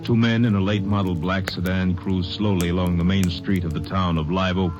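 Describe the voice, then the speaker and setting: A man narrating a scene in a 1940s radio drama, measured and matter-of-fact. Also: sits low, little pitch movement, over music.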